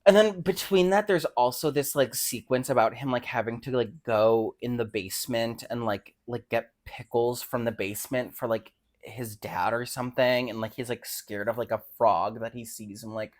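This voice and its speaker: A man's voice: medium pitch, normal speed. A man co-hosting a casual, unscripted book podcast, rambling with lots of "like" and speaking bluntly with some swearing. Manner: rambling, heavy use of "like". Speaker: podcast co-host discussing short fiction.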